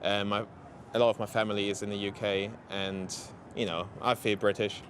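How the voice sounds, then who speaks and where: A man with a German accent talks in a low voice, stopping and restarting often with plenty of fillers. He is recorded outdoors, with faint street and traffic noise behind him. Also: flat delivery, a bit bright. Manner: halting, many fillers and restarts. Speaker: interviewed cyclist. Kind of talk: post-race interview.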